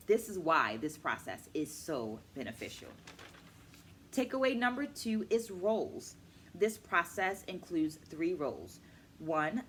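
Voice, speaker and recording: A middle-aged woman hosting a book club vlog, explaining to camera with deliberate, emphatic phrasing. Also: on the low side, a bit bright, a little hiss or hum. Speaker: host of a virtual book club, educator. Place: small furnished room at home, indoors.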